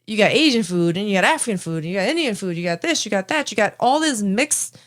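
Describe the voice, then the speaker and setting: A young woman co-hosting a casual podcast, blunt and animated, swearing freely as she talks. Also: medium pitch, fast talker. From Cambodian-American family. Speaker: podcast co-host. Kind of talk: casual chat.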